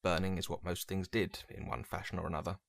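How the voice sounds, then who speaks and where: A man reading dramatic fiction as a narrator, in a brooding, measured, theatrical way. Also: sits low, steady tone, gravelly, dry recording, clean studio sound. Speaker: story narrator. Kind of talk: dramatic scripted story narration.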